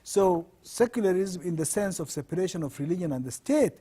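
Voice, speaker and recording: A middle-aged man from Sudan, a law professor answering interview questions in measured, earnest explanations. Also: high-pitched, lively intonation, dark tone, breathy edge.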